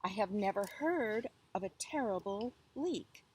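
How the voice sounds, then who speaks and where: A woman voicing a clever, modest little monkey in a children's folktale, eager and expressive. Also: medium pitch, unhurried, breathy edge, low-quality recording. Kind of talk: children's folktale read aloud.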